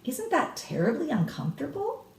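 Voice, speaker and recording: A woman's voice: high-pitched, wildly swooping pitch, moderate pace, vocal fry, a little mellow, voice pushed up, exaggerated voice. A woman reading a storybook as a curious, incredulous young grandchild full of questions, in a roomy, distant recording. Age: child. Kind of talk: dramatic children's storybook reading. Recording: roomy echo, distant mic.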